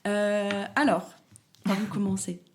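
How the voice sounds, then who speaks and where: A young woman chats as an interview guest at a middling pitch. She rambles a little and leans on fillers and restarts, calling herself talkative. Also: flat delivery, unhurried, darkish tone. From France, Paris. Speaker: guest, content creator. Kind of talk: casual interview talk.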